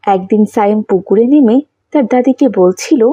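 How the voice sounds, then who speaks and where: A woman narrating a scripted children's story, with a husky, mellow voice. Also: medium pitch, moderate pace, no room sound, lo-fi sound.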